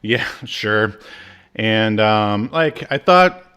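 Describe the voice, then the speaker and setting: Rambling podcast co-host, many ums. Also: male voice, fairly low pitch, lively intonation, extremely slow, sharp tone, clear, resonant tone. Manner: rambling, many ums and uhs, acts out characters in quotes. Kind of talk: casual chat recapping a TV episode.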